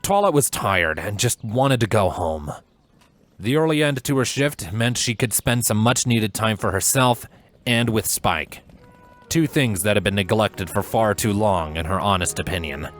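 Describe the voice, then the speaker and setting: A man narrating a scripted story in a measured, dramatic storytelling manner. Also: fairly low pitch, animated. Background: music.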